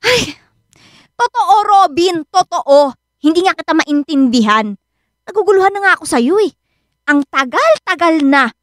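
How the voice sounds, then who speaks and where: A shrill-pitched, scolding Filipino best friend. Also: female voice, expressive, a little slow, dry recording, clean studio sound. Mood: exasperated. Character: blunt, exasperated, scolding female best friend. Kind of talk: Filipino radio drama acting.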